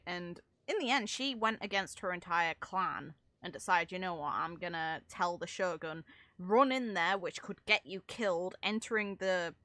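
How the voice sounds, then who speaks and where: Bright-voiced woman giving casual game commentary. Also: fairly low pitch, dry recording. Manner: informal, chatty, with British slang and mild swearing. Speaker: streamer reacting to game voice lines.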